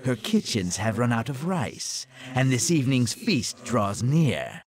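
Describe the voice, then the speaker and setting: A man playing a formal, obedient messenger in a video game, speaking in a courtly, measured way. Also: medium pitch, big pitch swings, a little hiss or hum. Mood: formal, dutiful. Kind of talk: video game cutscene acting.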